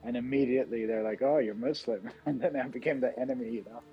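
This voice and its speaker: A man's voice: mid-range voice, quick pace, highs cut off. A man hosting a livestream, chatting casually and telling rambling stories from home, with a slight American accent. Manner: relaxed, rambling storytelling. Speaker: livestream host. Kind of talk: casual chat. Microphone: computer microphone.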